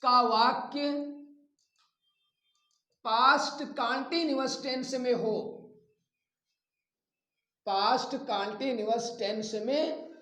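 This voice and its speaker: A man teaching a grammar lesson step by step, repeating phrases deliberately and addressing his students directly. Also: very high voice, fairly even intonation, bright, forceful tone. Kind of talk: classroom lesson, explaining rules step by step. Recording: echoey, roomy sound.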